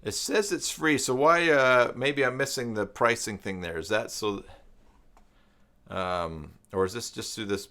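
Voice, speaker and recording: A man's voice: medium pitch, measured pace, bright, forceful tone. A middle-aged man hosting a casual live stream, rambling and thinking aloud as he reads and chats with viewers. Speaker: live stream host, self-employed web tutor.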